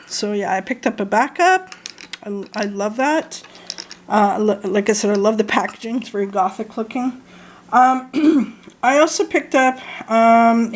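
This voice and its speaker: Slow, hoarse woman with constant throat clearing. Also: on the high side. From Canada. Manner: slow and hesitant, many ums and throat clearing. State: losing her voice, hoarse. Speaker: vlogger showing fragrance purchases. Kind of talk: casual haul chat.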